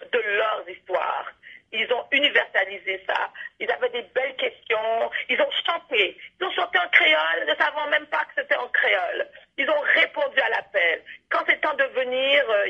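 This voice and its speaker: A woman with a Canadian French accent speaks as an interview guest in an earnest, reflective way. Her voice is creaky and a little husky, and she often restarts and repeats words. The recording is dull, like muffled radio. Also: a little high. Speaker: interview guest, playwright and storyteller.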